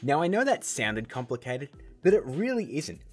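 A man hosting a software tutorial, explaining steps in a casual, upbeat way. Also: mid-range voice, big pitch swings, normal speed, slightly rough, faint music behind. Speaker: video tutorial host.

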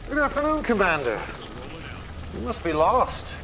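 A man's voice: high-pitched, big pitch swings, measured pace, exaggerated voice. An actor playing a smug, menacing enemy commander in a video game, taunting as he speaks. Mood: smug menace. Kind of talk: video game villain acting. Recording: noisy game audio with vehicle sounds.

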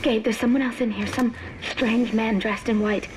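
A woman's voice: on the high side, fairly even intonation, normal speed, background noise, natural voice. A woman playing an anxious, loving young wife in hiding in a video game, sounding worried and compliant. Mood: frightened, tender. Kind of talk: dramatic game voice acting. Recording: loud music over the voice.

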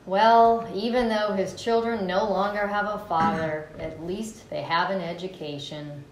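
A woman's voice: mid-range voice, fairly even intonation, measured pace, close to an everyday voice. A woman voicing a glum villager who makes the best of misery, in an amateur table read. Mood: resigned. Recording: roomy echo, faint background noise.

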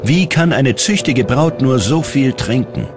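A man's voice: medium pitch, lively intonation, measured pace, over music. A man reading scripted documentary narration in a dramatic storytelling manner.

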